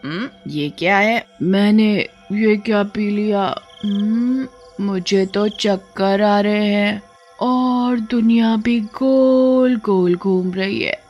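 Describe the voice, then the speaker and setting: A woman voicing a gleeful, menacing man-eating witch in an animated story, with taunting, drawn-out words. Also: mid-range voice, lo-fi sound, faint music behind. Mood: dizzy, gleeful.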